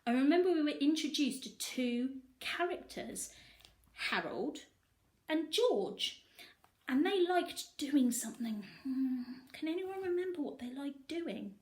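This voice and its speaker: A woman reading a children's storybook expressively, pausing to put questions to her young listeners. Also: high-pitched. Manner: animated, asks listeners questions.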